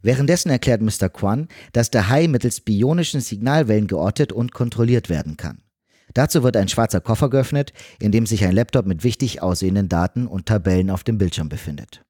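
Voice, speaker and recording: A man's voice: on the low side, lively intonation, a little fast, dark tone, slightly rough, no echo, clean studio sound. A man narrating a scripted film recap in a wry, tongue-in-cheek manner.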